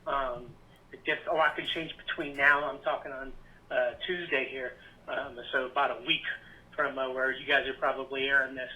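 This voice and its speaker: A man's voice: mid-range voice, flat delivery, moderate pace, bright, forceful tone, poor audio. A man leaving a casual, rambling voicemail as a sports fan caller, somewhat tentative. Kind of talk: casual spoken voicemail opinion. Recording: faint background noise on voicemail.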